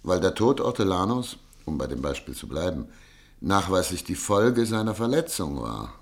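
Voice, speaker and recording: A stern public prosecutor in a radio drama. His low voice swings widely as he argues, formal and emphatic, never yielding a point. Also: sing-song, moderate pace. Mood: assured, insistent. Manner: rhetorical, emphatic, formal legal argument. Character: male public prosecutor, eloquent, unyielding, stern and argumentative. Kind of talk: German radio play acting.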